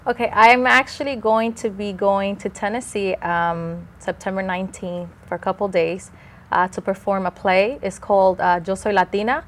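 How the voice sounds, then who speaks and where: A woman's voice: mid-range voice, steady tone, moderate pace. A woman from the Dominican Republic, an actress guest on a talk show, talking enthusiastically and a little rambly about her stage work. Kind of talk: casual interview chat.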